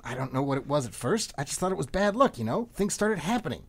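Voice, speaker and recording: A man acting in a comic radio play as a frantic, rambling middle-aged man under arrest, excitable and often interrupting himself. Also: a little high, fast talker, vocal fry, breathy edge, heavily acted. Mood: agitated, confessional.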